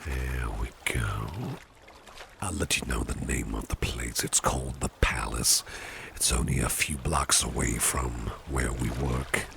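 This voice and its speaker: Man playing a very deep, gravelly suave boss. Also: noisy background, full character voice. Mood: smooth, eager. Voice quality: very deep, gravelly, breathy half-whisper. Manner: slow, gentlemanly, reassuring, coaxing. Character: suave, attentive gentleman boss courting an employee. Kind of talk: ASMR roleplay.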